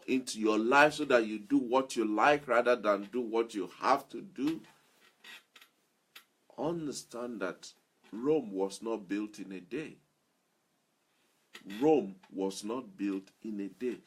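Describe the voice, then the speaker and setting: Slow, husky-voiced male motivational speaker. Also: mid-range voice, a bit bright. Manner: earnest, repeats key phrases for emphasis. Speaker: self-improvement speaker. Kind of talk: motivational talk to listeners.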